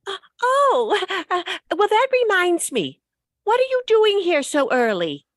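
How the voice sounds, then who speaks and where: A flighty older landlady played by an actress in a vintage radio comedy. Her voice is very high and highly animated, recorded close and dry. She dithers and stammers, kindly and forever losing her thread. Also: measured pace, no room sound, exaggerated voice. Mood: flustered.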